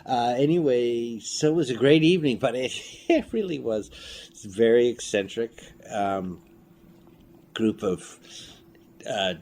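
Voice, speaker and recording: A man being interviewed as a filmmaker and audio drama creator, telling anecdotes in a casual, rambling, animated way. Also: medium pitch, measured pace. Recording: noisy room ambience.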